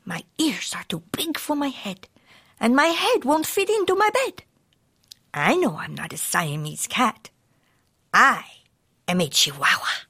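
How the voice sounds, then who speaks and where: A woman's voice: very high-pitched, very animated, very slow, no echo, clean studio sound, pitched above the performer's own voice, full character voice. A woman voicing a boastful, imaginative little boy kitten in a storybook, with dramatic whispery exclamations.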